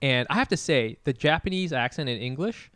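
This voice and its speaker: A man, a podcast co-host who chats in an animated, rambling, profane way. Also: medium pitch, brisk, slight background noise. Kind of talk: casual chat.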